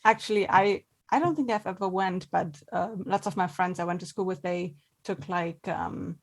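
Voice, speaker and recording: Low-voiced woman from Germany, chatting. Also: normal speed. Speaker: voice actor. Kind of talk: casual chat.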